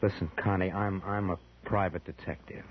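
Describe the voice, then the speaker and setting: An actor playing a smooth-talking, lovestruck, evasive private detective in an old-time radio drama. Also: male voice, low-pitched, steady tone, moderate pace, lightly acted. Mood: confessional. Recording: muffled, noisy old radio recording.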